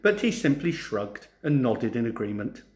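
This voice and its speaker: A man narrating a storybook for children in an expressive, theatrical way, like a teacher. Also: mid-range voice, normal speed. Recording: roomy, echoing sound.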